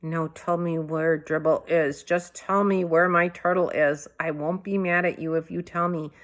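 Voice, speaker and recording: A woman's voice: low-pitched, fairly even intonation, moderate pace, lightly acted. A woman voicing an exasperated, insistent school-age boy in a storybook read-aloud. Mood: pleading. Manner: insistent, pleading, prone to yelling. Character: frantic, exasperated school-age boy upset over his pet turtle.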